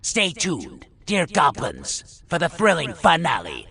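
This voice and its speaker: A man's voice: a little high, wildly swooping pitch, slow pace, vocal fry, sharp tone, husky, no room sound, voice pushed up, exaggerated voice. A man voicing a gleeful, taunting goblin game-show announcer with showy, dramatic delivery in a fantasy audiobook. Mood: showy, excited.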